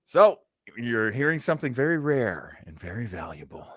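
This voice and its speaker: A man's voice: medium pitch, very animated, moderate pace, fairly bright tone, no room sound, clean studio sound. A man from the US East Coast hosting a show, explaining things in a casual, chatty way. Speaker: show host.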